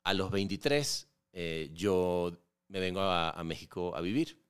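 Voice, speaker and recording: A Venezuelan man in his forties talks easily as an interview guest. His voice sits very low and sounds smooth and clear. He thinks aloud, with restarts and a frequent "¿no?". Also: unhurried. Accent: Venezuelan Spanish. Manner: relaxed, many fillers and restarts, frequent rhetorical "¿no?". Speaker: podcast guest, filmmaker. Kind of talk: casual interview conversation.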